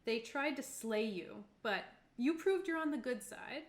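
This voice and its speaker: A woman's voice: on the high side, lively intonation, a little slow. A woman talking casually at a tabletop roleplaying game, sometimes reading options aloud. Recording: roomy, echoing room sound.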